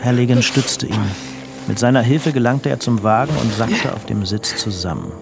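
A man narrating a crime story for a radio drama in a grave, detached, measured way. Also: mid-range voice, vocal fry, noisy background, lightly acted.

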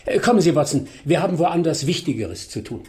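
An actor playing a brilliant, commanding detective in a radio drama, brisk, probing and articulate. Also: male voice, on the high side, animated, loud music behind the voice, natural voice. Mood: urgent. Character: brilliant male detective, commanding, shrewd, quietly amused.

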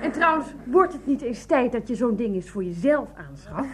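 A woman playing an aggrieved, self-pitying sick wife in a radio play, complaining with a sharp, sarcastic tongue. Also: on the high side, lively intonation, moderate pace, a little hiss or hum, lightly acted. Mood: peevish, scornful.

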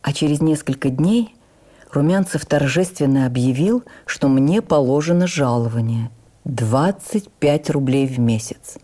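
A woman reads a first-person memoir as dramatic radio narration, with theatrical, expressive phrasing. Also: sits low, unhurried. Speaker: actress reading a memoir in a radio production. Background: music under the voice.

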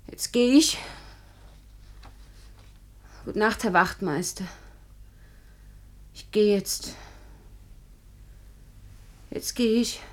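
An actress in a German radio drama playing a fragile, desperate young jilted woman, halting and often hushed to a whisper. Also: mid-range voice. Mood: bitter, defiant.

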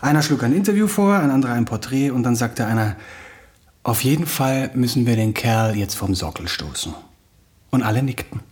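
An actor playing a touchy, self-regarding young playwright in a naturalistic radio play, dry and sardonic. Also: male voice, medium pitch, animated, brisk, a little mellow, husky. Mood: dry, guarded.

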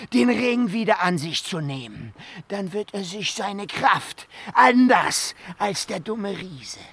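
An actor playing a bitter, scheming dwarf villain in a fantasy radio play, breathy and hissing, often dropping into whispers. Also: male voice, high voice, a bit bright, no room sound, full character voice. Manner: gloating, theatrical, drawn-out menace.

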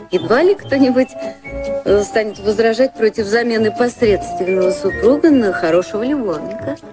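A society lady played by an actress in a radio drama, with a fairly high voice. She is arch and ironic, sceptical yet tender. Music is clearly heard behind her. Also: close to an everyday voice. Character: wry society woman, sceptical yet tender, being courted.

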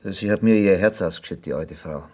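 Actor as sober, low-voiced male confidant. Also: dark tone, natural voice. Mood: grave, calm. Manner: measured, matter-of-fact. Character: sober, probing male confidant to a farm boy. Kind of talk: German radio drama acting.

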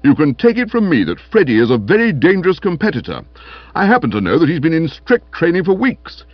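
Comic storybook role, played by a man: stern policeman, accusing and peevish, raised animated pitch, slightly muffled audio. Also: fairly quick, dry recording. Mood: accusing, hectoring. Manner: hectoring, morose, clipped pronouncements. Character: stern bullying young constable, pompous, peevish and accusing.

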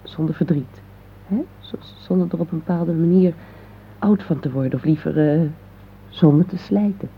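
An actress playing an anxious, doubtful but affectionate middle-aged wife in a radio play, halting as she questions. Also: low voice. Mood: soft, questioning. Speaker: radio drama actor. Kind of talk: intimate radio play dialogue. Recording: muffled phone-like old radio recording, hissy.

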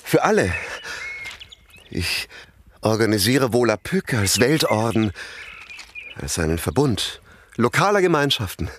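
An actor in a German radio play playing a fervent, self-important priest with a preacherly, impatient manner. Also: male voice, on the low side, big pitch swings, unhurried, long pauses, fairly bright tone, slight background noise. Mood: fervent, prayerful. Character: fervent, domineering priest who invented a world language.

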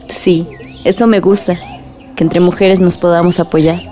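A woman's voice: on the low side, fairly even intonation, a little slow, mellow, soft background music, close to an everyday voice. An actress playing a troubled, caring young village woman in a radio drama, confiding and weary. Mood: warm, grateful.

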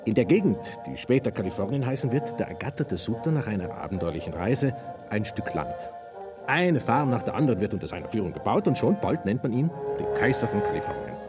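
An ambitious, earnest film director speaks in a low, swooping voice. He has a theatrical storyteller's way in this radio play. Music plays clearly behind him. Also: brisk. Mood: enthusiastic. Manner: expressive, earnest.

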